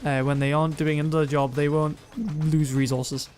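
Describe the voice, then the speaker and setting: A young man with a self-described monotone voice, rambling through gameplay commentary and viewer comments, often correcting himself mid-sentence. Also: fairly high pitch, moderate pace, slight background noise. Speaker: gaming YouTuber.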